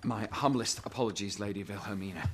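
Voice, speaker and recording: A man's voice: lowish voice, fast, slight background noise, lightly acted. A man playing a brusque but deferential castle guard in a fantasy game, with clipped, formal archaic speech. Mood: apologetic, deferential. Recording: loud music behind the voice.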